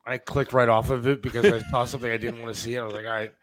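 A man's voice: mid-range voice, fairly even intonation, rapid-fire, a little hiss or hum. Easygoing man co-hosting a podcast. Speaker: guest co-host. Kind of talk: casual podcast chat.

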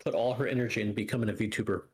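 A man's voice: on the low side, fairly even intonation, racing, a little mellow, slight background noise. A man co-hosting a podcast in casual, rambling chat, teasing and drifting into asides. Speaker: podcast co-host. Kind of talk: casual chat with live chat banter.

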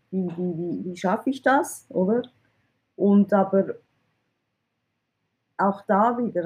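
A woman speaks in a mellow, somewhat husky voice. Her answers are candid and she restarts words as she searches for them. She tends to end her points with a questioning tag. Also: medium pitch. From Iran. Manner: candid, repeats words when searching, ends points with "oder?". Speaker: IT recruitment consultant. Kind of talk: interview answers.

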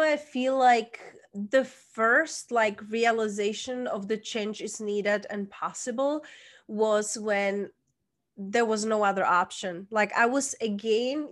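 A woman with a Czech accent, a guest coach telling her personal story in an interview, earnest and emphatic. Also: mid-range voice. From Czech Republic.

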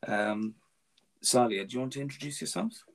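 A man's voice: mid-range voice, moderate pace, vocal fry. A man whose first language is Farsi, hosting a casual podcast interview, talking with frequent ums and restarts. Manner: hesitant, many ums and restarts. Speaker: podcast host.

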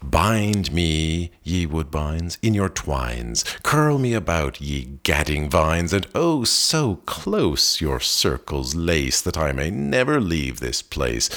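A man reading a long poem aloud in a measured, expressive way, lifting his voice on exclamations. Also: rumbling low. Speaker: poetry reader. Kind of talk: poetry reading.

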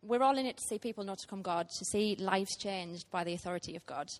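A woman in her thirties gives a guest sermon in a church. Her voice sits at an ordinary middle pitch, and her delivery is sincere and heartfelt, with plenty of small ums between thoughts. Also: fast talker. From Teesside, England. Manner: earnest, heartfelt, with frequent ums. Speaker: guest preacher.

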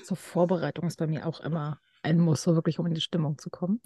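A woman hosting a podcast, speaking in a friendly, softly spoken way. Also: low-pitched, steady tone, fast, slight background noise. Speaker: podcast host.

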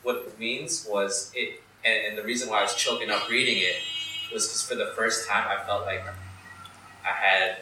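A man from Saudi Arabia hosting a podcast, telling his own story candidly and in a lively, casual way. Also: fairly low pitch, moderate pace, very echoey, recorded from a distance, slight background noise, poor audio. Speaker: podcast host. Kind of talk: casual conversation.

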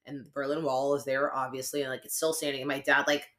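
Young woman, deep low voice, chatty rambling podcast co-host, filler-heavy. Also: little pitch movement, fast talker, a bit bright. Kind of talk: casual podcast chat.

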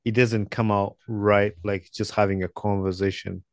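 A Ugandan man hosting a relaxed podcast chat, his voice sitting low. He rambles and hedges, circling back with "like". The sound is dry and close, with no room echo. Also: moderate pace. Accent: Ugandan English. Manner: rambling, hedging with many "like"s. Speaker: podcast host. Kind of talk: casual interview chat.

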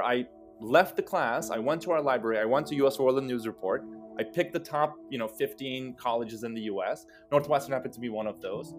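Man telling personal stories conversationally. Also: medium pitch. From Turkey. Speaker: interview guest, startup co-founder.

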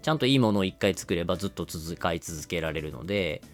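A man explains things on a business podcast in a low voice with a swinging, animated melody. He moves along rapidly in long connected runs, conversational as a co-host. Faint music plays behind him.

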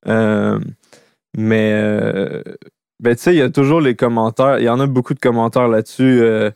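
Un jeune homme à l'accent québécois, en conversation décontractée, au parler familier truffé de mots anglais. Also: male voice, mid-range voice, moderate pace, creaky, clean tone, dry recording, clean studio sound. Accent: Québécois French. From Quebec. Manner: relaxed, slangy, mixes in English words. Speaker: YouTuber, podcast co-host. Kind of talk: casual chat.

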